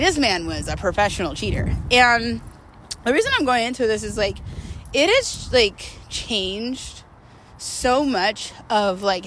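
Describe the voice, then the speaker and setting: A woman's voice: medium pitch, fairly bright tone, a little hiss or hum, low-quality recording. A woman talking casually and confessionally to her viewers, in a candid, rambling, emphatic way with slangy wording. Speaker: host of a personal vlog series.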